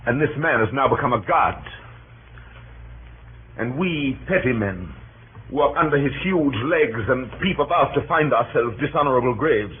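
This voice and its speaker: An actor playing a shrewd, resentful Roman conspirator in deliberate, declamatory 1930s radio Shakespeare style. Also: male voice, mid-range voice, close to an everyday voice. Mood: bitter, urging. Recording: scratchy narrow-band 1930s radio broadcast.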